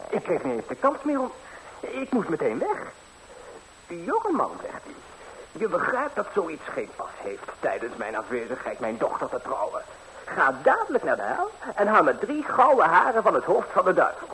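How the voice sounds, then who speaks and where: An actor playing a naive, chatty young lad, a fairy-tale hero who rambles hesitantly and artlessly in a 1960s radio drama. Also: male voice, sits high, wildly swooping pitch, normal speed, a bit bright, exaggerated voice. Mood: eager. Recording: faintly noisy 1960s radio recording.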